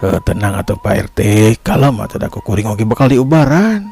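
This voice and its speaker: A man voicing a calm, reassuring village healer and fighter in a folk tale, with a Sundanese accent. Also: fairly low pitch, fairly quick, gravelly, slightly rough, full character voice. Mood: calm, caring.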